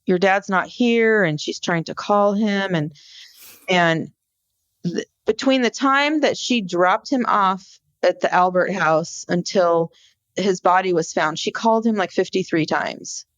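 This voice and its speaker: A woman co-hosting a podcast, explaining a case conversationally in an informal, halting storytelling way. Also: fairly low pitch, no room sound. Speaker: podcast co-host. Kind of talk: conversational true-crime case discussion.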